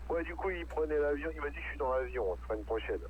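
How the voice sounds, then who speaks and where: A man's voice: fairly high pitch, expressive, rapid-fire. A man chatting casually as a hobby radio operator, rambling in a colloquial way. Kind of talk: casual chat on amateur radio. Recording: narrow tinny radio link, faint noise.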